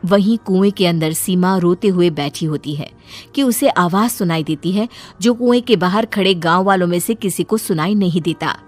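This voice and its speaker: A woman's voice: fairly low pitch, normal speed, darkish tone, faint music behind. A woman reading a scripted horror story as its narrator.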